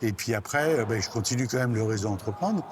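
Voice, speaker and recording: A man's voice: medium pitch, expressive, quick pace. A man in his sixties talks casually as a guest in a conversational interview. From born in Cameroon, raised in central Africa by French parents. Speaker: interview guest, recently retired business network member. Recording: clear background noise and ambience.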